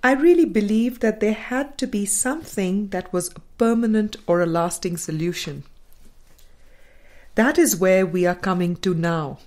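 A woman reading a spiritual book aloud in a measured, deliberate and formal way. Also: medium pitch, a little mellow. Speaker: audiobook reader.

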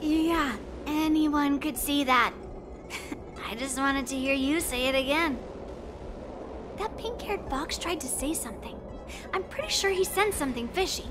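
A woman's voice: high-pitched, unhurried, over music. A woman voicing a cheerful, playful young woman adventurer in a game, chatting in a teasing way.

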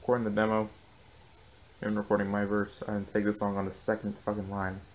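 A young man with a low, monotone voice, chatting casually and self-critically in a behind-the-scenes vlog from his bedroom, swearing often. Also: a little fast, roomy sound, rough recording. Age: young adult. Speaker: rap battle creator. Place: small bedroom. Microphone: studio condenser mic.

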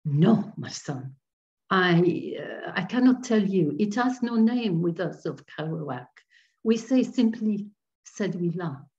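A woman voicing a timid, kindly little elderly Breton priest in a storybook reading, speaking gently and formally. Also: high voice, expressive, gravelly, lo-fi sound, full character voice. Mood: hushed, uneasy. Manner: gentle, halting, formal phrasing.

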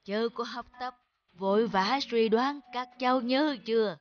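A woman's voice: fairly high pitch, highs cut off, natural voice. Woman as a wise, protective cartoon elephant. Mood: gently instructive. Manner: reassuring, instructive, measured. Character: wise, calm grown-up elephant, protective elder of the forest. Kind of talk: children's cartoon dubbing.

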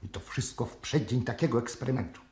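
An actor playing a stern, irritable colonel in a 1960s radio drama, with an authoritative, clipped delivery. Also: male voice, mid-range voice, fast, a little mellow, close to an everyday voice. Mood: exasperated. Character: stern, irritated colonel, anti-communist security chief. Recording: roomy vintage radio studio recording.

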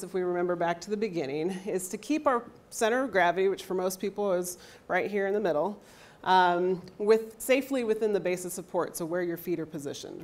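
A woman in her thirties teaches a health class in a university lecture hall, speaking into a handheld microphone. Her voice is loud and energetic, at a middle pitch. She keeps checking in with the audience as she explains. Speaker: athletic trainer and lecturer.

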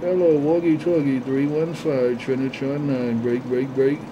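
A man's voice: mid-range voice, level tone, unhurried. A man talking as a CB radio operator, repeating call signs and catchphrases in a chanting way over a radio channel. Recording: noisy, dull CB radio audio.

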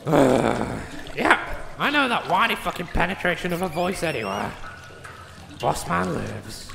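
A man's voice: a little high, sing-song, unhurried, gravelly, full character voice. A man voicing a crude, greedy, scheming little gnome who mocks and swears, in a comedic fantasy audio drama. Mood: mocking. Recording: echoey reverberant room with some noise.

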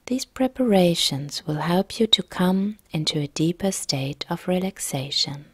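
A woman yoga nidra instructor narrating a guided relaxation meditation in a calm, soft, gentle, breathy way. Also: lowish voice, a little slow, dry recording.

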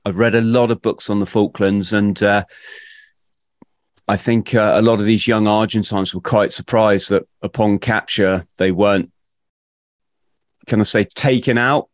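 Podcast host: British male, middle-aged, lowish pitch, halting conversational delivery, dry close-mic sound. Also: no echo. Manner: halting, repeats words. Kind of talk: conversational interview introduction. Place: small room at home, video call. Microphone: close podcast microphone.

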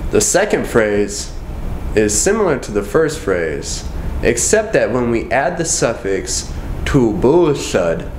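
A young man calmly teaching a language lesson, repeating each phrase slowly and carefully. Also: fairly low pitch, a little hiss or hum. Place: indoors, in front of a wooden wall. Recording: roomy indoor echo.

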